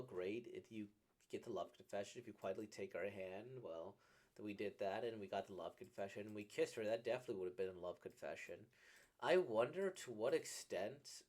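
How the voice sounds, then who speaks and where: A man giving casual, off-the-cuff commentary on a game, rambling as he thinks out loud and now and then voicing imagined lines. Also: on the low side. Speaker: streamer reacting to a visual novel.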